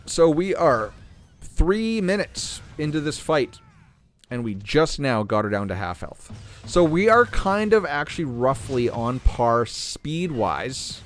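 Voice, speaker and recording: A man hosting a gaming channel, talking casually through a game while playing, clearing his throat as he is losing his voice. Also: mid-range voice. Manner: relaxed, thinking aloud while playing. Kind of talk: casual gameplay commentary.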